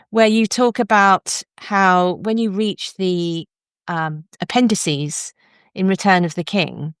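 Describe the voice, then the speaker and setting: A woman's voice: mid-range voice, clear, resonant tone, no room sound, clean studio sound. A woman hosting a conversational literary interview, articulate and discursive, explaining things for non-specialist listeners. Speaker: podcast host interviewing an academic.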